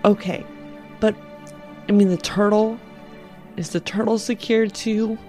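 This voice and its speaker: A woman's voice: mid-range voice, fairly even intonation, unhurried, mellow, soft background music. A woman playing a tabletop roleplaying game, casual and hesitant as she thinks aloud.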